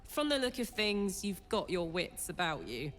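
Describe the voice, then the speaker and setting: A woman's voice: on the low side, sing-song, normal speed, a bit bright. A woman playing a tough, big-hearted female fighter in a video game, casual and encouraging, with long reverb and music behind. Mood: fond, encouraging. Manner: casual, plain-spoken, encouraging.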